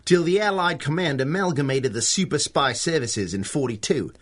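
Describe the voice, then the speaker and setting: A sardonic stranger. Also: male voice, fairly high pitch, expressive, normal speed, a bit bright. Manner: dry, sardonic. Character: wry man from another universe. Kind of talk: radio drama acting.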